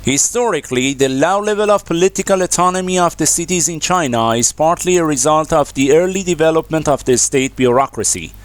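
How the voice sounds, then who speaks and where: A man with a somewhat high-pitched voice reads scripted academic passages aloud. His delivery is measured and formal, as for exam practice. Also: dry recording. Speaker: narrator of exam practice readings.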